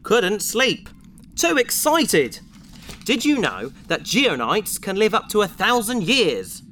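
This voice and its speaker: High-voiced, earnest bookish space adventurer. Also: male voice, wildly swooping pitch, slow, fairly bright tone, slight background noise, heavily acted. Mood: excited. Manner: enthusiastic, earnest, explanatory. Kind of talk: sci-fi audio drama acting.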